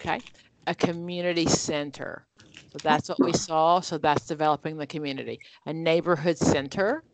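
A woman's voice: low-pitched, fairly even intonation, moderate pace, dry recording. A woman leading an online exam-prep study group, explaining answers with everyday examples in a conversational way over a video call.

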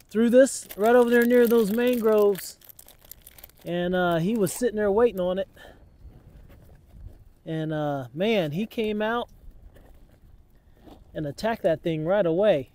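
A man's voice: high voice, warm tone. Chatty man fishing off a dock. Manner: chatty, addresses viewers as guys. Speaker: fishing channel host. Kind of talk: casual outdoor fishing vlog. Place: outdoors on a waterfront dock. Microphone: camera on a tripod, sometimes selfie-held.

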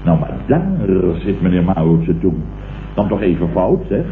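An actor playing a pompous, blustering, self-satisfied boss who stammers and repeats himself in a vintage Dutch radio comedy. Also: male voice, low voice, animated, normal speed. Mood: indignant bluster. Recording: muffled, echoey, hissy vintage radio recording.

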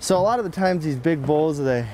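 A man's voice: a little high, animated, brisk, a little mellow, a little hiss or hum, poor audio. A man in his thirties chatting casually while fishing from a small boat outdoors, laughing easily, on a lapel mic. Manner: easygoing, laughs often while reeling. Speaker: fishing guide and guest.

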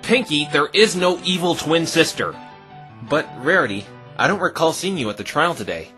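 A man's voice: a little high, animated, moderate pace, pushed and bright, soft background music. A man playing a dry, sarcastic, easily exasperated young defense lawyer in a fan-dubbed visual novel. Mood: dry, questioning. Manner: dry, sardonic. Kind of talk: fan-dub visual novel character acting.

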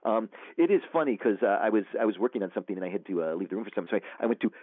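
A lowish-voiced man talks over a thin, phone-like line, dry and close. He rambles easily, stopping and restarting with lots of ums and "you know"s. It sounds like a relaxed guest chatting in an interview. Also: very fast, dry recording. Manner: rambling, many fillers and restarts. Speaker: author guest. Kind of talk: casual interview chat.

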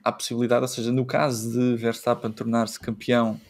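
A man's voice: mid-range voice, level tone, a little fast. A man with a European Portuguese accent hosting a casual podcast chat, steering the talk and laughing often. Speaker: podcast host.

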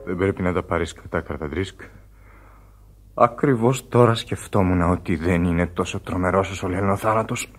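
Man acting dying sailor, very deep, frail, pleading, radio play, light hiss. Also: natural voice. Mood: weary. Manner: weak, pleading, breathless. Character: dying sailor, fearful then resigned. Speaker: radio drama actor. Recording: faint hiss of old radio recording.

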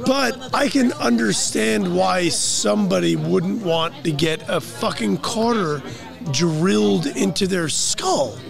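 A man's voice: sits high, animated, slow, creaky, over music. An American man from the US hosting and arguing jokingly, swearing often, at an outdoor bar. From United States. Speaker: podcast host. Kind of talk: casual podcast banter. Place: outdoor bar terrace at night. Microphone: table mic on stand.

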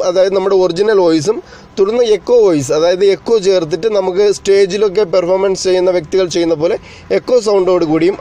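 A man's voice: sits high, mellow. A man narrating an explanatory tech tutorial as its host, in a formal, wordy way that addresses viewers directly.

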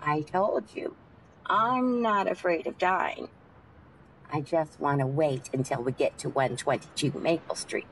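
Actress as slow, serene, eccentric lady passenger. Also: low voice, background noise. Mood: friendly, calm. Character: serene, eccentric, polite woman taxi passenger. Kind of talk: old-time radio drama acting.

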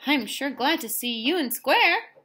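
A woman's voice: high-pitched, expressive, a little slow, pushed and bright, pitched above the performer's own voice, heavily acted. A woman voicing a cheeky, rule-breaking little male storybook shape who gives short, blunt replies. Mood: relieved.

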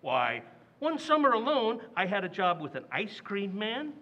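A man's voice: sits high, huge pitch swings, normal speed, dull audio, full character voice. A man playing a blustering, penny-pinching sitcom dad. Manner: loud, blustering, indignant. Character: blustering stingy working-class dad. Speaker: radio actor. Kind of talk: old-time radio comedy acting.

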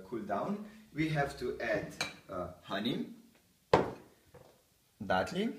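A man's voice: medium pitch, far from the mic. Brief: young man spoofing a TV chef, jokey and chatty; recorded off-mic in a roomy kitchen. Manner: joking, loose and chatty while cooking. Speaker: host of a homemade cooking show. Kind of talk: playful mock cooking-show talk. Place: small home kitchen, indoors. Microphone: camera a few feet away.

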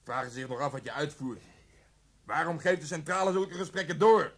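A man's voice: on the high side, fairly quick, fairly bright tone, slightly rough. A man playing an exasperated, sarcastic police officer on the phone in a Dutch radio play. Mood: irritated, grumbling. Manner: sarcastic, indignant. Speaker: actor in a radio drama.

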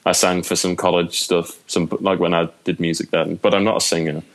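Young english guy, super low voice, rambly chat with loads of ums, at home in his room. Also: little pitch movement, fairly quick. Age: young adult. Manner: chatty, rambling with fillers. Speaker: podcast guest, bassist. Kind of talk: casual chat.

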